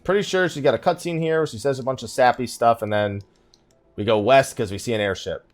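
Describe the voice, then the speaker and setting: A man's voice: mid-range voice, a little fast, soft background music. A man hosting a let's play with casual gaming commentary, thinking aloud and muttering asides to himself.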